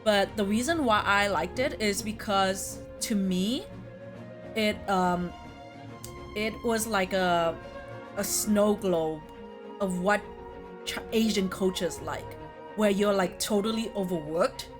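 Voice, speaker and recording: A woman talks casually over music, with a medium-pitched voice. She sounds like a co-host working through her choices out loud, weighing each one as she speaks. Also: takes it slowly. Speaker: co-host ranking video game quests. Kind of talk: casual chat.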